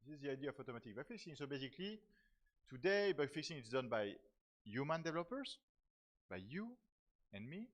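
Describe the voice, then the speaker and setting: A man from France, a professor of software technology, giving a conference talk with many fillers and repeated words. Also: mid-range voice, very animated, brisk, long pauses. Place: conference hall.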